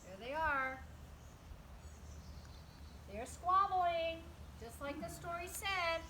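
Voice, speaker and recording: A woman with a high, bright voice reads a children's story aloud at an unhurried pace. She is animated and emphatic, like a teacher guiding young listeners. There is faint noise behind her. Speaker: storyteller reading a picture book to children. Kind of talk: expressive read-aloud with explanatory asides.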